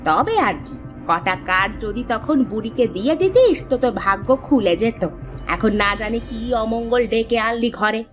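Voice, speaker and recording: A woman voicing a worried village woman in a children's cartoon, scolding in a sharp, reproachful way. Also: fairly high pitch, animated, unhurried, highs cut off. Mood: scolding, fretful. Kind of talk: Bengali children's cartoon dubbing. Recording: music behind the voice.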